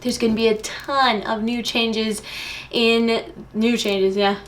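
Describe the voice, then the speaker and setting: A woman's voice: fairly high pitch, little pitch movement, takes it slowly, a little hiss or hum. A young woman hosting a casual vlog show, talking to camera with bubbly enthusiasm. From United States. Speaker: host.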